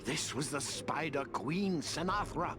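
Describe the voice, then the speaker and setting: Whimsical, swooping old wizard. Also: male voice, a little high, a little slow, very noisy. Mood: grave, chatty. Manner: chatty, whimsical, expressive. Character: kindly, eccentric old male woodland wizard. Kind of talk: fantasy game character acting. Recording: loud music under dialogue.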